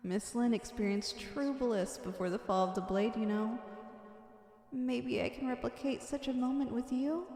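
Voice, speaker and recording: Obsessed evil villainess asmr rp, kinda high voice, dramatic purring endearments, super echoey. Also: female voice, darkish tone. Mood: coy, musing. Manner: theatrical, florid, drawn-out purring endearments. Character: wicked obsessive villainess, lovestruck and gleefully murderous. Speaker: ASMR roleplay voice actor. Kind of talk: theatrical villain ASMR roleplay monologue. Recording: echoey hall-like reverb.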